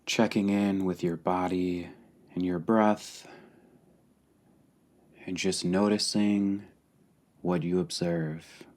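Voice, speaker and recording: Man, meditation guide, deep pitch, level intonation, calm, deliberate, short spaced phrases. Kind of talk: guided meditation, slow and spacious.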